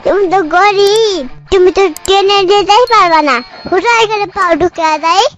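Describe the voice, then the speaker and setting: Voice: extremely high pitch, fairly even intonation, normal speed, fairly bright tone. A cartoon voice of a bossy little girl, self-assuredly telling absurd tall tales in a childish, scolding manner. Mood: bossy, indignant. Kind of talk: comic cartoon dialogue. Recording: dull lo-fi audio, music behind.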